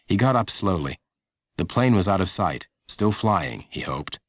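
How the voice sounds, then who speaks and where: A man reading a children's audiobook as scripted narration, in a measured, dramatic storytelling manner. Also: low-pitched, big pitch swings, no echo.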